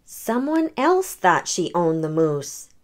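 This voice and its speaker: A woman hosting a children's read-aloud, narrating a picture book in an expressive storytelling manner. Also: fairly low pitch, slow.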